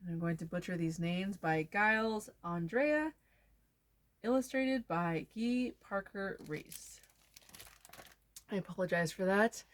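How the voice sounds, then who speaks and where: Expressive woman reading to children, close dry sound. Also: medium pitch, slow, long pauses, no echo. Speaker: read-aloud presenter for children. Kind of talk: expressive storybook read-aloud.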